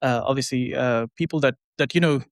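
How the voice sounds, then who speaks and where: A man's voice: mid-range voice, a little fast, dry recording, clean studio sound. A young man, the show's producer, talking casually with many fillers and "right?" tags. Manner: conversational, many fillers and tag questions. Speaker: podcast producer and co-host. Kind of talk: casual podcast conversation.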